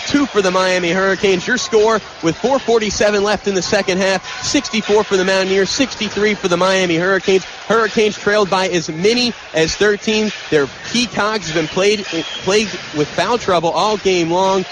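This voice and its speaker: A man doing live radio basketball play-by-play as the announcer, in excitable, punchy, clipped phrases. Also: sits high, normal speed, hardly any pauses. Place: courtside in an indoor arena. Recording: loud arena noise behind. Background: loud arena crowd noise.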